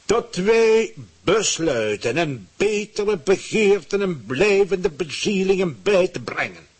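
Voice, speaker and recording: A man's voice: high-pitched, very animated, slow pace, husky, full character voice. A man playing a pompous, scheming government ruler in a satirical radio play, dictating orders imperiously with blustering hesitations.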